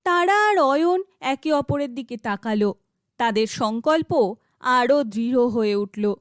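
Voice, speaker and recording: Casting: female storybook narrator, high pitch, slow scripted fairytale delivery. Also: animated, no echo, studio quality.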